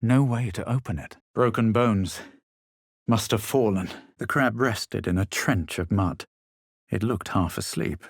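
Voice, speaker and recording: A haughty fantasy game adventurer. He is a self-assured man with a low, slightly husky voice. His delivery is measured, theatrical and superior. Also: no room sound, clean studio sound. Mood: composed, measured. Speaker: video game voice actor. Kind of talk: fantasy game dialogue and narration.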